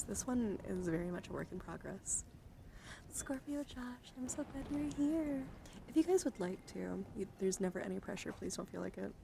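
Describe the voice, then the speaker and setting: Soft, whispery woman with creaky voice. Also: mid-range voice, noisy background. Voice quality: breathy soft-spoken, often dropping to a whisper. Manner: gentle, affectionate, attentive to viewers. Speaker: livestream host. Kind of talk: ASMR livestream, casual chat to viewers.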